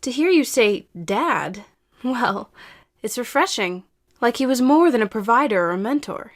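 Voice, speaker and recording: A woman acting a patient, probing therapist who speaks in a measured, formal way in an audio drama. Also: fairly high pitch, animated. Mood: calm, coaxing.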